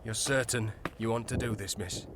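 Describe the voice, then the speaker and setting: An actor playing a deferential, cautious manservant in a period audio drama, speaking plainly and respectfully. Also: male voice, on the low side, fairly even intonation, normal speed, background noise, natural voice. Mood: reluctant.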